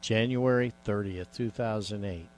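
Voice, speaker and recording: A man's voice: fairly low pitch, measured pace, darkish tone, a little hiss or hum. A man hosting a casual radio talk show, rambling with many fillers and restarts.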